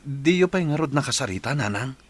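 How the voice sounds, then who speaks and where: A man playing a caring, earnest young husband in a radio drama, speaking gently and solicitously with an Ilocano accent. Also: mid-range voice, lively intonation, brisk, breathy edge, faint music behind. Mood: concerned.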